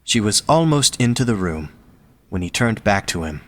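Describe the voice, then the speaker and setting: A man's voice: lowish voice, big pitch swings, normal speed, fairly bright tone. A man reading scripted story narration as the narrator of an audio drama.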